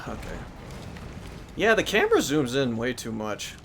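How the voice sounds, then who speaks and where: A man streaming a video game, reacting loudly, swearing and laughing as he plays. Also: mid-range voice, huge pitch swings, unhurried, soft background music. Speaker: gamer streaming a playthrough. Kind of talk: live gameplay commentary.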